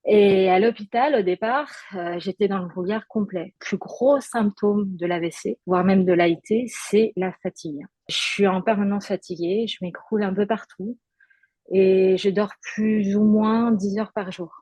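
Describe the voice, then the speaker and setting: Husky woman speaking hesitantly. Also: mid-range voice, normal speed, mellow, no room sound. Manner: hesitant, frequent fillers and restarts. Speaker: guest. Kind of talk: personal testimony in conversation.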